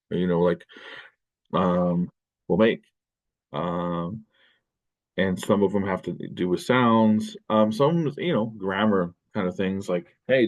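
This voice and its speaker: A middle-aged American man with a deep, low-pitched voice teaches a linguistics class from his desk. He talks in a loose, rambling way, full of fillers and self-corrections. It sounds like a webcam-recorded lecture. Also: a little mellow. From United States. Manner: loose, rambling delivery with many fillers and restarts. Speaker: college linguistics instructor. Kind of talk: recorded lecture over screen share. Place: indoor room at a desk.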